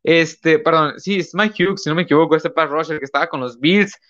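A man's voice: on the high side, a little fast, fairly bright tone. Rambling man, lo-fi. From northern Mexico. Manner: rambling, many fillers and self-corrections. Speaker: podcast host. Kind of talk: casual live-stream talk.

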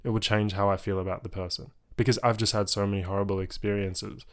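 A man's voice: lowish voice, flat delivery, quick pace. A young man with an Australian accent, hosting a casual talk in an earnest, rambling way. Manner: earnest, rambling, heavy use of like. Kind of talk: casual conversation.

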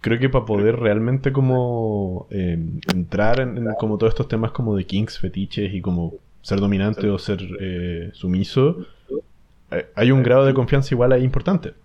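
Chilean podcast host, young man, low voice, muffled lo-fi audio, thinks aloud with fillers. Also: moderate pace, slight background noise. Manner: thoughtful, rambling with fillers. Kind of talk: casual chat.

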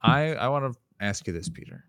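A man's voice: medium pitch, expressive, fairly quick, bright, forceful tone, clean tone, no room sound, clean studio sound. A man co-hosting a casual podcast, talking enthusiastically and rambling, often restarting sentences. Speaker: podcast co-host. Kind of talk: casual chat.

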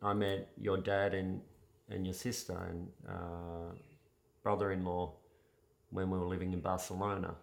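A man born in Serbia co-hosting a casual couple's chat, easygoing and a bit halting as he talks. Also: low-pitched, little pitch movement, creaky. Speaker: podcast co-host.

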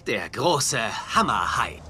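An actor playing an eccentric, intrusive detective who talks in a wordy, eager, theatrical way in a dubbed mystery drama. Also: male voice, mid-range voice, sing-song, slow, pushed and bright, a little hiss or hum, full character voice. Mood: eager, fascinated.

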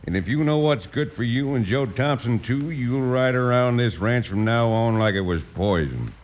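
A man's voice: medium pitch, expressive, normal speed, noisy background, close to an everyday voice. A man playing a domineering, greedy, threatening American outlaw rancher in an old-time radio western. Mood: menacing. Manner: threatening, bullying.

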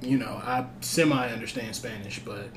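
A man in his thirties guesting on a podcast, telling stories in a relaxed, slangy way. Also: mid-range voice, moderate pace, slight background noise. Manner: easygoing, slangy storyteller. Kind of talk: casual podcast chat.

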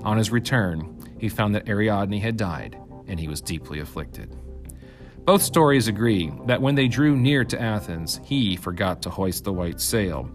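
Low-voiced man narrating a myth. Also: animated, normal speed, soft background music. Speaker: reader, narrator. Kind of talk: scripted storytelling narration of Greek myth.

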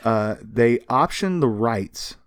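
A man's voice: mid-range voice, animated, slow pace, clear, resonant tone, dry recording, clean studio sound. A man co-hosting a podcast, telling history in an informal, conversational way with plenty of emphasis. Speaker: podcast co-host. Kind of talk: casual podcast storytelling about pop culture history.

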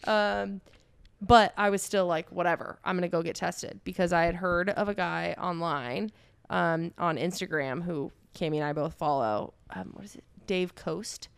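A woman's voice: lowish voice, normal speed, vocal fry, smooth, no room sound. A woman co-hosting a podcast, telling a personal story in a playful, self-mocking way. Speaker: podcast co-host. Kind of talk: casual storytelling.

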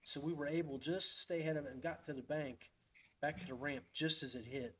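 A man's voice: on the high side, fairly even intonation, brisk, a bit bright, slightly rough. A man hosting a podcast, chatting casually and telling stories in a folksy, rambling way. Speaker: podcast host. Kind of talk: casual fishing podcast chat.

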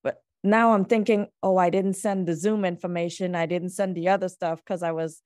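A woman podcast host on a video call, telling a personal story in a lively, laughing way and acting out her own thoughts. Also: on the low side, moderate pace, no echo, clean studio sound. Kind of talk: casual chat.

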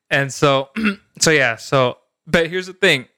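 A man's voice: on the high side, takes it slowly, sharp tone, smooth, dry recording, studio quality. A man from El Salvador telling a story in casual podcast chat, laughing often and using slang. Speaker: podcast co-host.

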